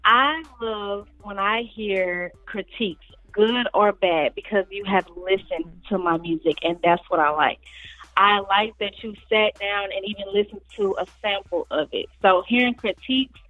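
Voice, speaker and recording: A woman's voice: medium pitch, a little slow. Chatty Southern woman singer, lo-fi sound. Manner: chatty, laughs often, addresses listeners as y'all. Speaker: guest singer. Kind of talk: casual interview chat.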